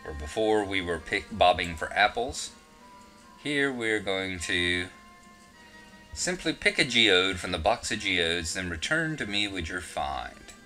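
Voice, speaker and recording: A man's voice: sits low, sing-song, measured pace, bright, forceful tone, faint music behind. A man with a Southern US accent giving casual, rambling gameplay commentary with self-mocking asides. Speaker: game walkthrough narrator.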